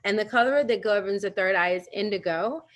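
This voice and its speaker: A woman with a voice of ordinary pitch leads a live online wellness workshop from home. She talks in a chatty, personal way and laughs often. Her voice reaches the listener through a video call. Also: fairly quick. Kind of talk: live virtual workshop teaching.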